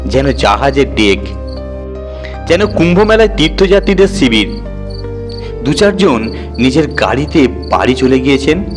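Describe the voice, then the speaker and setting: Male horror storyteller over music. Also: mid-range voice. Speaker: audio story narrator. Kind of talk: dramatic first-person horror story narration. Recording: music bed under the voice.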